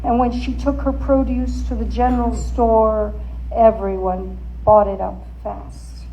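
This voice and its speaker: Woman, high soft-toned voice, slow dramatic storybook narration, faint background noise. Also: poor audio. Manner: deliberate, expressive, suspenseful. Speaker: storyteller reading a book aloud.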